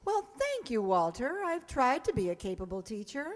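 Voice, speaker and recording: A woman playing a wry, sarcastic high school teacher in old-time radio comedy style. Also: sing-song, moderate pace. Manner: dry, wisecracking. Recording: reverberant hall recording.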